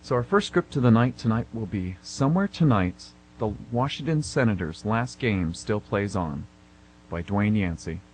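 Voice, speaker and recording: A man's voice: lowish voice, expressive, normal speed, poor audio. A man co-hosting a radio theater show, making informal announcements. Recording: hum and clear background noise.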